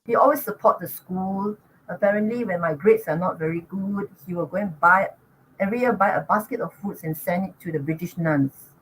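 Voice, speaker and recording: An older Singaporean woman talks through her memories in a chatty, easygoing way, laughing as she goes. Her voice sits a little low. A faint noise hangs under the recording. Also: a little fast, poor audio. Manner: chatty, laughs often, many restarts. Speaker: guest. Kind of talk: casual interview talk.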